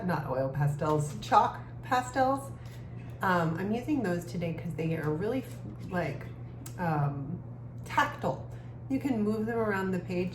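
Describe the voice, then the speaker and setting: A woman giving a casual art lesson for children, musing gently aloud as she draws. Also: mid-range voice. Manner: gentle, musing, talks while drawing with trailing pauses. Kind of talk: casual creative drawing lesson, thinking aloud. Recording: echoey room with clear background noise.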